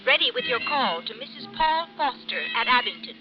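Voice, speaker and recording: A very high-voiced switchboard operator. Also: sing-song, brisk, loud music behind the voice. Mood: businesslike. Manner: clipped, formal. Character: brisk, polite telephone operator. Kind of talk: 1940s radio acting. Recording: dull vintage radio, distant phone voice.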